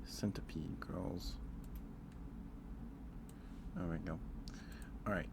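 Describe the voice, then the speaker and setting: A man with a low voice speaks softly in a casual ASMR livestream. He rambles a little, hesitating as he goes, and there is steady noise behind him. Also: drawn-out words, vocal fry. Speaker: live streamer and host.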